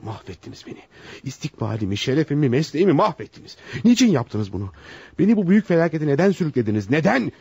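An actor playing a flustered, indignant psychologist trapped by blackmail, stammering out protests in a radio theater style. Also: male voice, fairly high pitch, big pitch swings, fast talker, gravelly, warm tone, husky, dry recording, muffled recording, full character voice. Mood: anguished outrage. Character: panicked, outraged male psychologist caught in blackmail trap. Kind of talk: Turkish radio theater acting.

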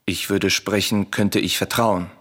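An actor playing a secretive, protective exiled prince in a solemn radio tragedy, speaking gravely and with urgency. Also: male voice, sits low, level tone, measured pace, a bit bright. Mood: guarded, resolute. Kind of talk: solemn radio drama acting of verse tragedy. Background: music.

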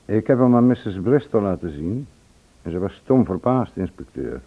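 An actor in a Dutch radio play, playing a respectful, careful and apologetic police sergeant. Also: male voice, lowish voice, big pitch swings, moderate pace, slightly rough, heavily acted. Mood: earnest, cautious. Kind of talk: Dutch radio drama acting. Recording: muffled lo-fi old radio recording.

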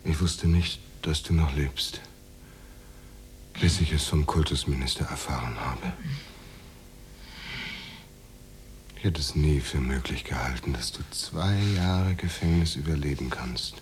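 An actor in a radio drama playing a menacing, coaxing Soviet official who speaks in a deliberate, insinuating way. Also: male voice, a bass voice, slight background noise. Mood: quiet, wistful.

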